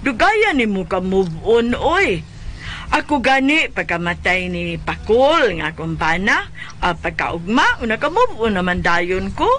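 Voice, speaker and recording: Blunt bisaya widow, sharp bright voice, really swoopy, comedy radio drama. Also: female voice, medium pitch, sing-song, unhurried, slight background noise. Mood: brisk, scolding. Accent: Filipino, Bisaya. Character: brisk, blunt, nosy middle-aged widow consoling a widower.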